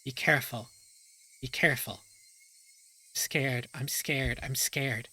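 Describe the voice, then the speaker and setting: A man's voice: medium pitch, steady tone, takes it slowly, sharp tone, slightly rough, full character voice, poor audio. A man voicing a frightened, anxious young male protagonist in a visual novel, repeating himself in dread. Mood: fearful, hushed. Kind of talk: dramatic visual novel reading.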